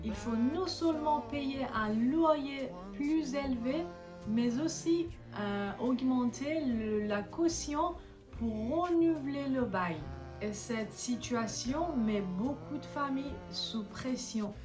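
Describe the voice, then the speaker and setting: A woman's voice: high voice, far from the mic. Earnest Iranian woman vlogging, music behind. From Iran. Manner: earnest, hesitant, many pauses and self-corrections. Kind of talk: casual vlog talk to camera.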